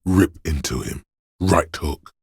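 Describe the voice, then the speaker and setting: A man voicing a paranoid, aggressive inner voice of primal fear in a video game, menacing, urgent and ominous, with a gravelly, husky sound. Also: low voice, slow, dry recording, studio quality, heavily acted. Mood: goading, aggressive. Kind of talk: video game voice acting, second-person dramatic narration.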